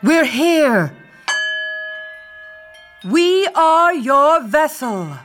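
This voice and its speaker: An actress playing a serene, sinister cult leader in an audio play, measured, ceremonial and coolly controlled. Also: female voice, high-pitched, very animated, over music, exaggerated voice.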